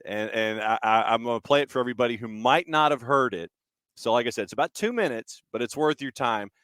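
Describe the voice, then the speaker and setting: A man's voice: medium pitch, a little fast, fairly bright tone, smooth, no echo, studio quality. A man hosting a sports radio show, talking casually as he sets up a clip.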